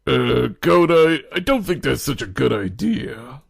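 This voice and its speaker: A man playing a genial, teasing middle-aged ex-teacher in an amateur audio drama, with a measured, formal way of talking. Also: medium pitch, lively intonation, gravelly, husky, full character voice. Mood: wry.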